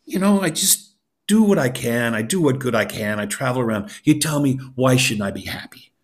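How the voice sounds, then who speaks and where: A man's voice: medium pitch, animated, normal speed, voice pushed up, lightly acted. A man voicing a cheerful, carefree old beggar with a jolly, boisterous manner in a spoken folk tale. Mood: easygoing.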